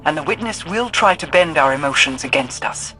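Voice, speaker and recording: Composed male adviser companion, fairly high, bright, earnest, formal, video game dialogue, light music. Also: animated, brisk, poor audio. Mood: earnest, urgent.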